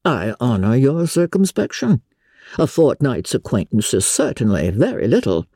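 A woman voicing a dry, unruffled middle-aged gentleman father in a classic novel audiobook, measured, deadpan and mock-solemn. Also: rumbling low, breathy edge, no echo, exaggerated voice.

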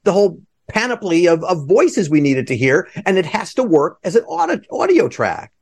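A middle-aged man hosting a podcast interview, chatting casually in an animated, rambling way. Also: high voice, normal speed, breathy edge. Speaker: podcast host interviewing theater guests. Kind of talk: casual chat.

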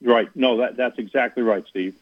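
A man's voice: medium pitch, fairly even intonation, normal speed, no room sound. A man, an athletic director, talking earnestly on a radio sports show, rambling with many fillers and restarts. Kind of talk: radio interview on a phone line. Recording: tinny phone line, dry.

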